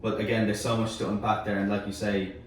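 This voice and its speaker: A man's voice: fairly low pitch, level tone, fast. A man on a sports panel in casual discussion, arguing point by point, picked up from a distance in an echoey room. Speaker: sports team panel member. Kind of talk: casual round-table discussion. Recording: very echoey room, distant mic, faint noise.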